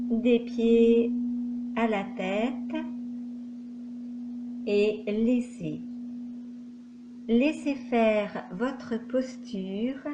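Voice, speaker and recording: A woman leading a yoga nidra guided meditation as instructor, giving measured instructions in short phrases with pauses between them. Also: a little high. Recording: dull lo-fi recording with loud background noise.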